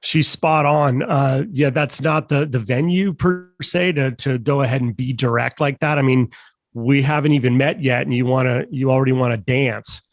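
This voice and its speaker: A man with a croaky voice talking on a sales panel in a relaxed, measured way, with plenty of fillers. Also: medium pitch, no echo. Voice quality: croaky, gravelly. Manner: measured, folksy, with many fillers. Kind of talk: casual panel discussion.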